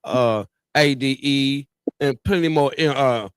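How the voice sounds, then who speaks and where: A man's voice: medium pitch, slow, clear, resonant tone, dry recording. Man, American accent, talk show host, slangy casual chat, effusive, repetitive phrasing, mid-range voice, dry sound. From Tennessee and California's Bay Area, USA.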